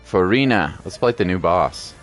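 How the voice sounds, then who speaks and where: A male game streamer with an extremely low voice, chatting casually as he plays. He is playful and repeats himself, with faint music in the background. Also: animated, moderate pace. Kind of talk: casual gameplay commentary.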